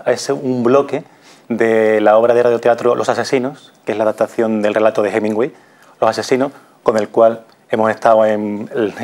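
A man's voice: fairly low pitch, a little mellow. A man in his thirties with an accent from Spain, explaining as an interview guest. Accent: Spanish (Spain). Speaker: interview guest, radio theatre performer. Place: TV studio.

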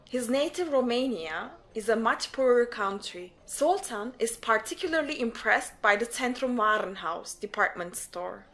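Female narrator, slightly high voice, scripted documentary storytelling. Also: a bit bright.

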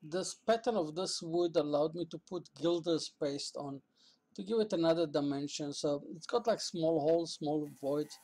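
An older man casually explaining a woodturning project in his workshop, plain-spoken and halting. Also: fairly high pitch, a little mellow. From South Africa. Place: small indoor cluttered woodworking shop.

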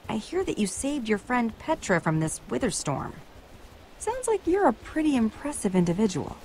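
A woman's voice: a little high, very animated, moderate pace, darkish tone, a little hiss or hum. A woman playing a self-assured, needling adventurer in a video game, dry and sardonic with awkward asides. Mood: probing.

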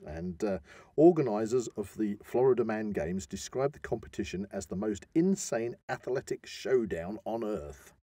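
A man's voice: fairly low pitch, expressive, moderate pace, warm tone, slightly rough, dry recording. An English man co-hosting a podcast, chatting casually and telling stories with amusement. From England. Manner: chuckles through his telling. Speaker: podcast co-host. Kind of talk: casual banter and humorous storytelling.

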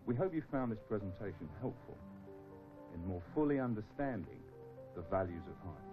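Expressive low-voiced man on tinny film. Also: normal speed, over music. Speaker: marketing manager. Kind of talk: scripted presentation to camera. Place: outdoors beside an office building. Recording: thin phone-like old film sound, noise.

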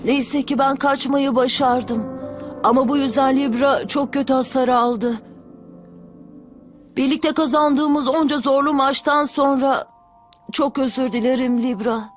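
A woman's voice: sits high, steady tone, a little slow, faint music behind. A woman voicing a small, earnest young boy with a vulnerable, friendly manner in a dubbed cartoon. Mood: anguished, fearful.

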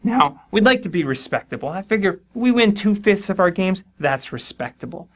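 A man in his forties teaches a math lesson at a board in a studio, talking in a slightly higher than usual voice. He explains each step plainly and slips in dry jokes at his own expense. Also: big pitch swings, normal speed, fairly bright tone. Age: 40s. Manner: dry self-deprecating humor. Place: studio in front of a dark board.

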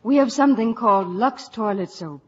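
A woman's voice: fairly high pitch, moderate pace, dull audio. A woman bantering playfully on a vintage radio show. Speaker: guest actress. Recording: echoey old radio broadcast.

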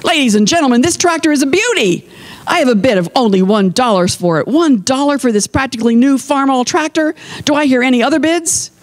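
A man's voice: very high-pitched, animated, brisk, voice pushed up. A man voicing a pushy auctioneer in a big hat for a storybook, calling for bids like a theatrical salesman. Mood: insistent.

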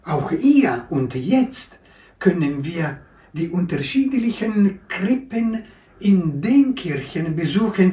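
An older man from Italy, a priest slowly giving a New Year's blessing from a room at home, filmed by a camera a little way off. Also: high-pitched, warm tone. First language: Italian. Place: living room with window, indoors. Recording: echoey room, mic at a distance.

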